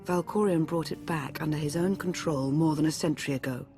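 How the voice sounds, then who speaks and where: An actress playing a measured, analytical advisor in a video game, composed and formal. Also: female voice, low-pitched, darkish tone, faint music behind. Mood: grave explaining.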